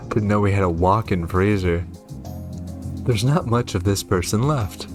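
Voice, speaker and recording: A man's voice: lowish voice, unhurried. A man giving commentary on a horror game, reacting and reading on-screen text aloud. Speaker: gaming video host. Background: game music.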